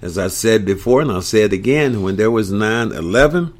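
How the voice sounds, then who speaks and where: A middle-aged man, a sports broadcaster, talking sports in a reflective way, often pausing on fillers. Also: on the low side, expressive. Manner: reflective, halting with frequent fillers. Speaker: sports broadcaster and podcast co-host. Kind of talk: casual sports talk.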